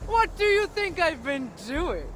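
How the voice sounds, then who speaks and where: A haughty underworld queen, speaks slowly and with wide swings of pitch. She is imperious and menacing, taunting as in a game cutscene, over loud background noise. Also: exaggerated voice. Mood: menacing, scornful. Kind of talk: dramatic video game cutscene acting.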